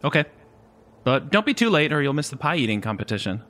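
An actor playing a friendly campground worker in audio drama dialogue, casual and easygoing. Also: male voice, medium pitch, big pitch swings, brisk, a bit bright. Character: friendly male campground worker reporting to his boss.

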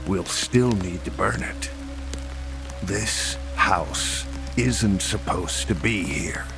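An actor playing a grim, weary rural sheriff in a horror audio drama, laconic and deadpan. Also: male voice, low-pitched, drawn-out words, creaky, exaggerated voice. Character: grim, weary rural sheriff, matter-of-fact about monsters. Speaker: supporting character, sheriff. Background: rain and music.

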